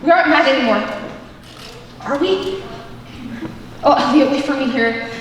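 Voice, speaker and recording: A young woman plays a flighty socialite in a drawing-room comedy. The voice is very high, affected and haughty. The recording is distant and echoey, with clear noise. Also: animated, moderate pace. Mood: haughty, gushing. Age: young adult. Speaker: student stage actor. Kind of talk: theatrical stage comedy acting. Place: large indoor school auditorium, on stage.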